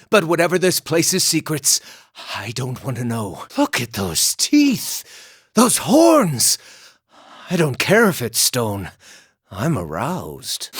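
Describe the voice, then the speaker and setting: A man's voice: medium pitch, no echo, clean studio sound, full character voice. A man voicing an irreverent, lusty small furry druid in a video game, with a wry, theatrical delivery. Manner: wry, theatrical, swinging from excited to muttering. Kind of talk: video game character dialogue.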